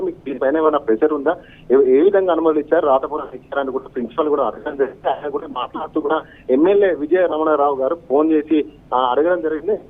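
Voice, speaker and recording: Male reporter on a phone line. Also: on the high side. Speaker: field news correspondent. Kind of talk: unscripted live news report. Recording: thin, narrow phone-line sound.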